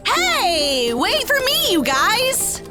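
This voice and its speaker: A woman acts a friendly, spirited young heroine for an audio drama. She has a high, bright voice with lively intonation, yet takes her words slowly. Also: loud music behind the voice, heavily acted. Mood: playful.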